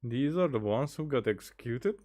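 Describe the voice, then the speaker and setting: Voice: medium pitch, animated, moderate pace, warm tone. A streamer giving casual, offhand commentary in muttered asides while playing a video game.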